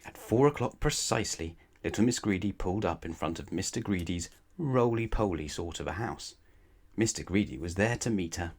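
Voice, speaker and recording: A man's voice: low voice, lively intonation. A man reading a children's bedtime story aloud in a playful, emphatic way, addressing the young listener. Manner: playful, emphatic, drawn-out key words, rhetorical questions to the listener. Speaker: storybook reader.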